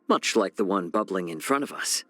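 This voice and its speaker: An actor voicing a genial, sly fox-man healer and chef in a video game, speaking softly and smoothly in a measured, gently teasing way. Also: sits low, animated, soft background music. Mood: wry, genial. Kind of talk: scripted game character voice lines.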